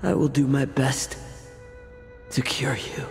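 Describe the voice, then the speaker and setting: Grave, weary healer, heavily reverberant. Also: male voice, mid-range voice, flat delivery, gravelly. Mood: weakened, grave resolve. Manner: measured, grave, halting delivery. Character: weary male fox-eared healer, stern yet self-sacrificing. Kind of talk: dramatic game voice acting. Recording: long reverb with music behind.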